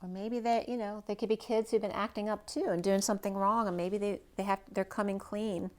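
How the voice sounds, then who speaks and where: A woman's voice: mid-range voice, steady tone, fairly quick. A woman giving a general tarot reading for a star sign. She rambles loosely, stacks up one possibility after another and thinks aloud with frequent "right?" asides. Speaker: tarot reader.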